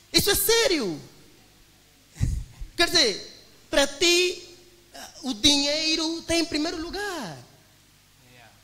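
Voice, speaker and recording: Young angolan man, very high voice, emphatic preacher-like delivery, echoey church hall. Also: bright, forceful tone. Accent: Angolan Portuguese. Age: 20s. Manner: emphatic, preacher-like, addresses listeners as brothers. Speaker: young business owner giving a testimony. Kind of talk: motivational talk to a church congregation.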